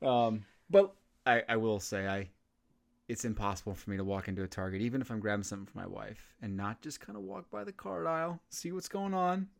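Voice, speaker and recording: A man's voice: fairly low pitch, vocal fry. Chatty male podcast host. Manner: chatty, quick bursts, many restarts. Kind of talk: casual interview chat.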